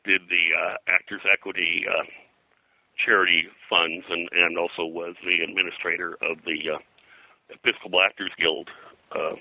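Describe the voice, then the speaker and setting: Deep, bright-voiced older man reminiscing. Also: flat delivery, gravelly, no echo, rough recording. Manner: halting, digressive, detail-heavy recall. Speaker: pop culture historian and comics colorist, guest. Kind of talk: rambling reminiscence in an interview.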